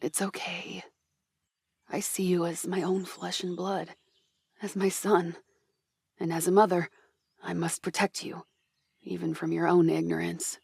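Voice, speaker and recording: A woman's voice: fairly low pitch, level tone, measured pace, gravelly, pauses often, darkish tone, breathy edge, no echo, full character voice. A woman playing a wounded, proud and tender motherly fighter in an anime audio drama. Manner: solemn, emotional delivery. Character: wounded, tough, motherly squad commander's comrade, proud and tender. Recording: music under the voice.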